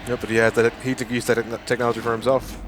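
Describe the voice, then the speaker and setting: A man's voice: mid-range voice, little pitch movement, very fast. A man giving casual, wry let's play commentary as a co-commentator. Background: video game cutscene noise and ambience.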